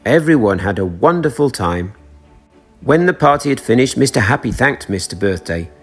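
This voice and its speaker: A man reads a children's story with expressive, deliberate delivery. His mid-pitched voice moves up and down a lot and has a husky grain. Faint music plays behind him.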